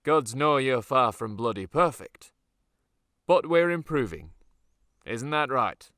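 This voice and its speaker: A man's voice: mid-range voice, animated, takes it slowly, a bit bright, no room sound, pitched above the performer's own voice. A proud young military commander, played by a male audiobook reader, speaks with pompous authority to his troops. He projects in a raised, oratorical manner, sounding vain and sure of himself. The sound is very dry, with little room to it. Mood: rousing, haughty. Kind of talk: audiobook character dialogue.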